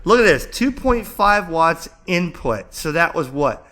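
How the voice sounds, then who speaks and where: A man with a bright, forceful voice pitched a little high. He talks like an enthusiastic hobby vlogger, casual and chatty, rambling along as he thinks out loud. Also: sing-song, a little slow. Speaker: amateur radio vlogger and host. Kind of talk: casual enthusiastic vlog commentary, browsing and narrating.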